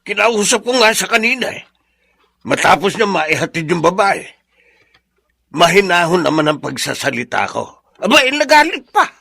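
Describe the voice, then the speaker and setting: An aggrieved old Filipino father-in-law in a radio drama. His high, forceful voice is rough and gravelly, full of protective indignation. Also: big pitch swings, normal speed, full character voice. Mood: agitated. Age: older.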